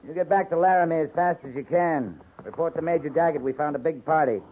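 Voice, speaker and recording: A man's voice: a little high, fast, lightly acted. An American man playing a stern, dryly sardonic US cavalry captain in a 1950s radio western, with clipped authority. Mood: brisk, resolute. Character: commanding male US cavalry captain, stern and dry. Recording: tinny, noisy 1950s radio recording.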